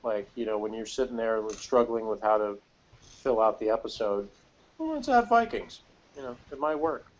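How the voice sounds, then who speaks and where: A man's voice: lowish voice, expressive, moderate pace, a little hiss or hum. A man co-hosting a casual review podcast, talking in a rambling, hedging, wry way. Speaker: podcast co-host reviewing a TV episode. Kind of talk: casual chat. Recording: dull, lo-fi sound.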